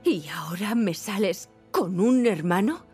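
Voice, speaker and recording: An actress playing a hurt, sharp-tongued wife in her thirties in a dubbed game, sarcastic and reproachful, with a Castilian Spanish accent. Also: medium pitch, expressive, a little slow, breathy edge, faint music behind. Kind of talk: dubbed video game cinematic acting.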